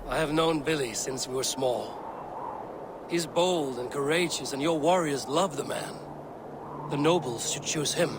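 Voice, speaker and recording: An actor playing a steady, loyal Viking warrior and trusted friend in a video game, measured and earnest. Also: male voice, a little high, noisy background. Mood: grave, earnest. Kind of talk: dramatic video game acting.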